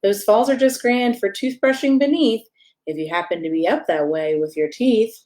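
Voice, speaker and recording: A woman's voice: on the high side, normal speed, darkish tone. Woman reading a children's bedtime story aloud. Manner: playful, addressing young listeners directly with questions. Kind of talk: expressive read-aloud of a rhyming children's picture book.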